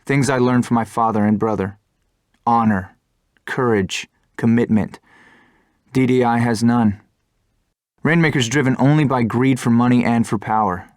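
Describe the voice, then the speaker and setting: A man's voice: medium pitch, normal speed, no echo, studio quality, close to an everyday voice. An actor playing a brooding, principled young male fighter pilot in an earnest, introspective way for a game cutscene. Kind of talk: scripted game cutscene narration and dialogue.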